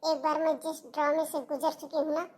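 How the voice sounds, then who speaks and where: A woman's voice: high-pitched, flat delivery, fairly quick, darkish tone, lightly acted. A woman voicing an aggrieved, determined young woman in a cartoon family drama, pleading yet firm.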